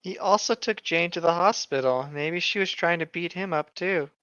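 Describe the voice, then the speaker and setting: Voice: medium pitch, moderate pace, fairly bright tone, smooth, no room sound. A speaker doing a mocking dramatic reading with exasperated, sarcastic ad-lib commentary, on a slightly dull microphone. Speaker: co-reader riffing on a horror story.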